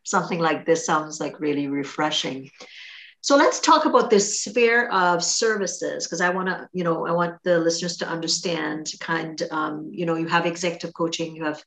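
A woman's voice: sits low, normal speed, fairly bright tone. A woman with a Trinidadian accent hosting an interview in a chatty way with asides. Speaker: podcast host.

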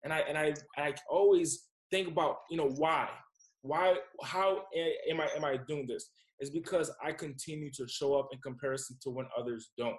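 A man's voice: on the high side, steady tone, normal speed, reverberant, low-quality recording. A man in his twenties, a guest entrepreneur, talking in a casual, emphatic, motivational way. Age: 20s. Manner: emphatic, motivational, repeats himself. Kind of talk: casual interview chat.

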